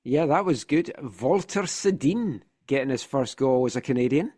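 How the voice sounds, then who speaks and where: Animated Scottish man, slightly congested. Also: medium pitch, moderate pace, husky. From Scotland. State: slightly congested from a sinus infection. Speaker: football podcast host. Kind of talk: casual sports podcast chat.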